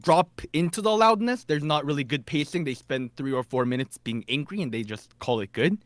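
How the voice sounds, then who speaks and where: A man whose first language is Korean, co-hosting a casual podcast chat, animated and often stumbling over his words. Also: a little high, brisk, no room sound. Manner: animated, stammers and repeats words. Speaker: podcast co-host.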